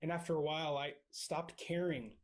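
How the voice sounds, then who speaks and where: An American man preaching a sermon in a church, speaking in short phrases and pausing for his interpreter. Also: fairly high pitch, steady tone. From United States. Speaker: visiting preacher.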